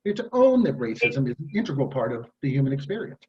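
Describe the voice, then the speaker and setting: Candidate at a town forum, man, lively pitch, measured policy talk, muffled video-call audio. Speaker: mayoral candidate.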